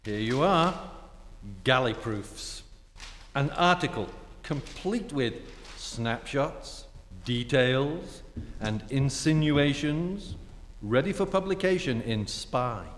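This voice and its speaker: A man's voice: medium pitch, animated, slight background noise. A man playing a suave, teasing, sardonic ex-husband in a staged vintage radio comedy. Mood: smug. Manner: sardonic, mock-gallant, unhurried. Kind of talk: staged 1940s-style radio comedy acting. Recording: echoey theatre hall recording.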